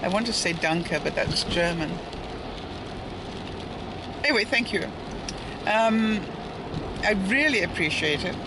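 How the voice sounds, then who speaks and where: A woman's voice: mid-range voice, expressive, slow pace, long pauses. A woman, older, talking to viewers in a casual vlog while outdoors by a street in light rain, rambling along with asides and little chuckles. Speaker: daily vlogger. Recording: noisy outdoor street with passing cars. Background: traffic and street ambience.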